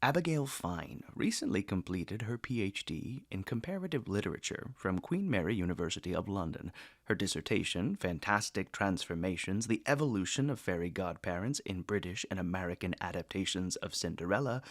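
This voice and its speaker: A man playing a genteel, erudite eighteenth-century gentleman who hosts a podcast with courtly, theatrical phrasing. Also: low-pitched, lively intonation, a little fast, dry recording, clean studio sound. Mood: formal, measured. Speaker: podcast host. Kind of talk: formal podcast introduction and interview.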